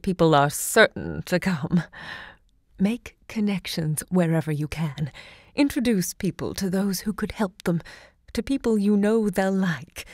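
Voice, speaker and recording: An actress playing a self-assured literary salon hostess in an audio drama, poised and savouring each word. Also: female voice, lowish voice, darkish tone, no room sound, clean studio sound.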